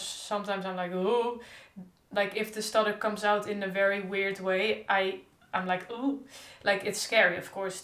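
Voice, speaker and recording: A woman's voice: medium pitch, fairly bright tone. A young woman who stutters openly with repeated sounds, talking in a casual diary-style vlog. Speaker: stuttering vlogger. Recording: echoey room.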